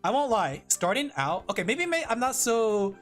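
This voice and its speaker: A man's voice: extremely high pitch, sing-song, normal speed, faint music behind. A man streaming as a vtuber, chatting casually with his viewers while drawing, rambling, laughing often and putting himself down.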